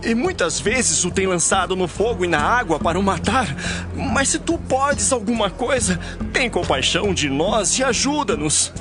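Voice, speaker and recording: High voiced anguished dad pleading in a bible drama, music behind. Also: male voice, animated, normal speed. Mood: desperate pleading. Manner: imploring, emotional. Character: anguished pleading father of an afflicted boy. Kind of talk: dramatized scripture audio acting.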